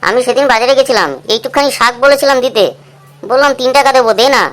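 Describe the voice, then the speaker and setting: A woman's voice: high-pitched, fast talker, a bit bright. A woman playing a chatty, naive, cheeky clinic patient in a comic cartoon, wordy and colloquial. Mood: complaining. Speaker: cartoon voice actor. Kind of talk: comic Bengali cartoon dialogue.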